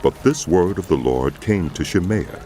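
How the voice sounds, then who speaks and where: A man narrating scripture as a scripted drama, measured and solemn, with music behind his voice. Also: sits low, lively intonation. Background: loud music.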